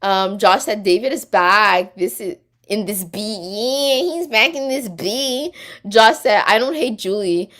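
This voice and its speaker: A woman from Quebec, whose first language is French, hosts a casual live stream. She reads viewer comments aloud and chats back in a relaxed, talkative way, her voice at an ordinary middle pitch. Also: slow. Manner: chatty, reads comments aloud and answers them.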